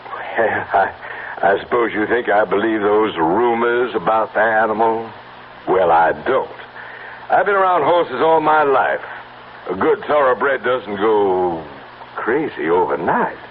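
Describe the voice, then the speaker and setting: An actor playing a cocky, persistent, smooth-talking horseman in an old-time radio drama. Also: on the low side, lively intonation, a little slow. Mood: persuasive. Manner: smooth-talking, insistent, self-assured. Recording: tinny band-limited old radio recording with hiss.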